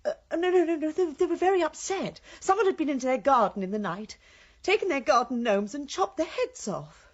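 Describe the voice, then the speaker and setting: An actress playing an earnest, gentle village woman in a radio drama, flustered and hesitant, with an English accent. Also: sits high, animated, a little fast, lo-fi sound.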